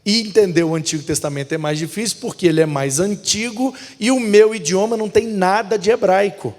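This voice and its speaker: A pastor teaching a hermeneutics class, explaining with rhetorical questions. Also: male voice, high-pitched, fairly quick, a little mellow, in a large hall. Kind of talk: bible class lecture.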